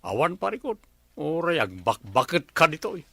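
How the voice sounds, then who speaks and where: A good-humoured landowner who laughs at his maid's jokes, his gravelly voice bright and full of swings in pitch, as a man plays him. The sound is dry and close. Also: sing-song, moderate pace, no echo, heavily acted. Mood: reassuring. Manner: laughing, teasing. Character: jovial male landowner, teasing and kindly. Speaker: senyor, employer of the maid. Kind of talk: radio comedy drama.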